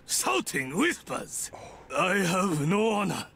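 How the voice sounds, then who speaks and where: An actor voicing a proud, fierce samurai sushi chef in a video game, with a Japanese accent, delivering solemn proverbs and dramatic battle cries. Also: male voice, high voice, huge pitch swings, slow, pushed and bright, a little hiss or hum, full character voice. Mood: grave, stoic. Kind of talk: video game character voice lines.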